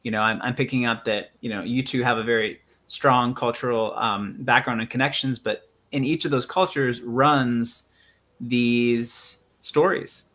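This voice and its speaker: A man hosting a conversation, talking in an earnest, thoughtful way with many pauses and fillers. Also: medium pitch, steady tone, a bit bright. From United States. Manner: earnest, thoughtful, many ums and you-knows. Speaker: musician and show host. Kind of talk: reflective conversational interview.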